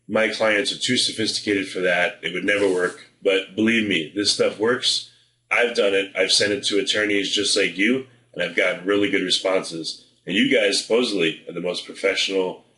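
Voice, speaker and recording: Low-voiced man, bright delivery, roomy echo. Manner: direct, persuasive, plain-spoken. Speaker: marketing presenter. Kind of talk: informal sales advice to camera.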